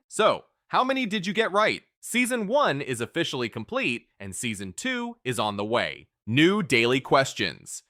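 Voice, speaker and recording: A man reads out quiz questions and answers in a scripted, energetic way. His voice is bright and slightly high, and his pitch swings widely for emphasis. The sound is close and dry. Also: no echo. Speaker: quiz show narrator.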